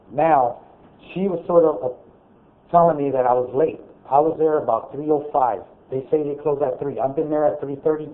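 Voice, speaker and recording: A man speaking loudly and bluntly during public comment at a board meeting, recounting a grievance. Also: on the high side. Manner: loud, blunt, aggrieved storytelling. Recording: tinny phone-like meeting audio.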